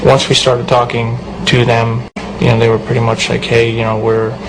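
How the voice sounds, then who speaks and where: A man's voice: medium pitch, completely flat delivery, moderate pace. A man, a police officer, giving a plain conversational interview account of events. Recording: very noisy interview recording.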